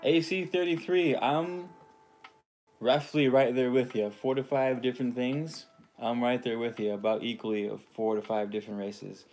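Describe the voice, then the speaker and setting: A man's voice: medium pitch, steady tone, moderate pace, a little mellow, a little hiss or hum. Laid-back man chatting on a livestream. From California. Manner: rambling, laid-back, frequent ums, greets viewers by name. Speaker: livestream host. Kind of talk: casual livestream chat reading viewer comments.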